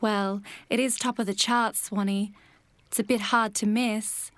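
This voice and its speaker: A woman playing a gentle, fussing, concerned motherly female swan in a comedic audio drama. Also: medium pitch, fairly even intonation, measured pace, no echo, lightly acted.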